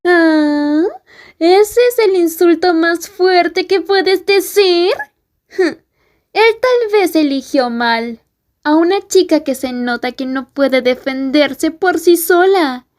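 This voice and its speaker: A woman's voice: extremely high pitch, a little mellow. A woman playing a mocking, threatening schoolgirl bully in an ASMR roleplay, drawing out her taunts. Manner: taunting, drawn-out.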